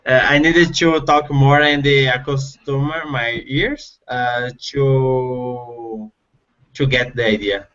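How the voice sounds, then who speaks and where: A man in his twenties with a Brazilian accent, learning English and haltingly searching for words. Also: medium pitch, steady tone, fairly bright tone. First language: Portuguese. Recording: dull lo-fi online call.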